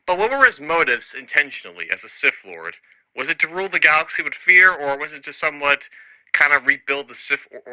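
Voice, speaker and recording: A man's voice: medium pitch, pushed and bright, no echo, poor audio. A man recording an improvised audio log as a storyteller, rambling and hesitant, often trailing off and losing his thread. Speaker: storyteller and narrator.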